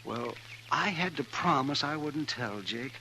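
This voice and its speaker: An actor playing an anxious, kindly older country doctor of the American West, hesitant and fussy, in 1950s radio western style. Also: male voice, mid-range voice, lively intonation, measured pace, gravelly, sharp tone, exaggerated voice. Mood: reluctant, uneasy. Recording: noisy vintage radio broadcast.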